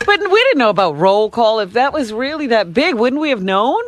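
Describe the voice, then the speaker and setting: A woman's voice: fairly high pitch, sing-song, moderate pace, dry recording. Skeptical radio co-host woman. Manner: chiming in, skeptical. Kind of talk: radio banter.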